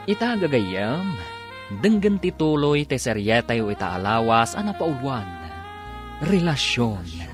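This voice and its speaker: A man with an Ilocano accent giving dramatic scripted narration as the announcer of a radio drama. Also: mid-range voice. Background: music.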